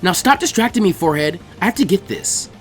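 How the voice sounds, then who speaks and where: A man's voice: high-pitched, expressive, quick pace, a bit bright. A man as a blunt, teasing teenage girl. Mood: frank. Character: blunt, smirking, competitive teenage ninja girl.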